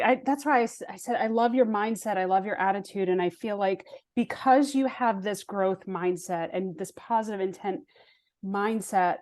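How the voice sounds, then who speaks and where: A woman's voice: mid-range voice, darkish tone. Affirming, encouraging woman host. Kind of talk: conversational interview.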